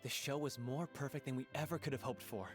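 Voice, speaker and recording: A man's voice: medium pitch, steady tone, fairly quick, soft background music, natural voice. A man playing a polite, grateful theatre director in a video game, speaking in a courteous and sincere way.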